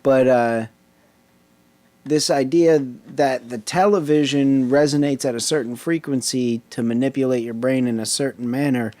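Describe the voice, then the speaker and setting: A man co-hosting a casual podcast chat, asking questions and speaking in halting restarts. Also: medium pitch, steady tone, mellow, no room sound. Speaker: podcast co-host.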